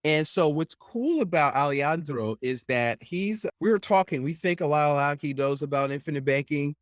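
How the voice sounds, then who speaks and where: A man's voice: on the high side, expressive, moderate pace, dry recording, studio quality. A man hosting a podcast interview, chatting casually in a rambling, opinionated way and often tagging his points with "right?". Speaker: podcast host.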